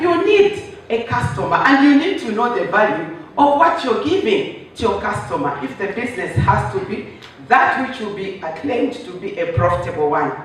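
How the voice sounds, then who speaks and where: Man, hoarse, high-pitched, presenting at a conference, explanatory, rhetorical questions, very echoey hall, faint background noise. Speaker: workshop presenter. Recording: roomy echo, voice from a distance, faint noise.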